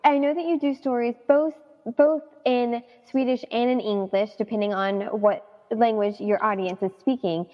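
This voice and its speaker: A woman interviewer with a fairly high voice, asking curious, gentle questions and hedging softly. Her sound is dull and muffled, with a long reverb trailing behind it. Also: steady tone, normal speed, hardly any pauses. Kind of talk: casual interview chat.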